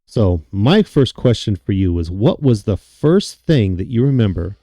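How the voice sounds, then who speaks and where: A man hosting a casual podcast chat, his voice deep and raspy from a cold, rambling and self-mocking. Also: big pitch swings, unhurried, warm tone, no echo, studio quality. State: hoarse from a cold. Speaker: podcast host.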